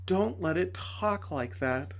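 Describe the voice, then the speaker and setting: A man voicing a gentle pleading lady. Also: sits high, animated, takes it slowly, voice pushed up, heavily acted. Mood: tender. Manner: soft, earnest, urgent pleading.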